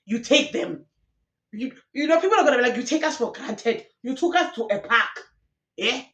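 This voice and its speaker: A woman's voice: high-pitched, animated, normal speed, a bit bright, roomy sound. A young woman YouTuber, ranting passionately in casual commentary, recorded alone in a room. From South Africa. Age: young adult. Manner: passionate, ranting, mixing in local slang.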